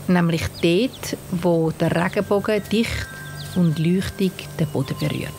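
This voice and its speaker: A woman with a Swiss German accent narrating a scripted bedtime story for children in a gentle, soothing manner. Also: lowish voice, vocal fry. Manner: slow, gentle, soothing delivery. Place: studio. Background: soft music and sound design.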